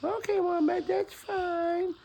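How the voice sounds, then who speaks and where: A man's voice: extremely high pitch, flat delivery, takes it slowly, gravelly, mellow, muffled recording, full character voice. A man voicing an eager little puppet critic who chatters and repeats himself in a folksy improvised puppet show.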